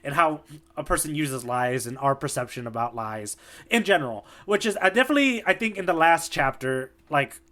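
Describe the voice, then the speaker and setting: A man's voice: a little high, animated, fairly quick, pushed and bright. A man hosting a casual podcast who jokes and talks loosely, using lots of fillers. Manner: joking, loose and filler-heavy. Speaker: podcast host. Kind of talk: casual chat.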